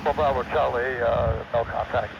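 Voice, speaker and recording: A man with a mid-pitched voice answers in short radio readbacks. The sound is dull and band-limited, with clear noise throughout. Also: fairly even intonation, normal speed. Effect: aviation radio transmission. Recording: muffled, noisy aircraft radio.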